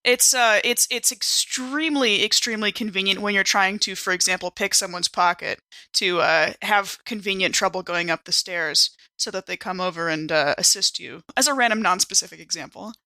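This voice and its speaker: A woman chats through her moves in a tabletop game. Her mid-pitched voice is bright and dryly recorded, with a playful, wry delivery. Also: moderate pace, no room sound. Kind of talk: casual improvised game chat.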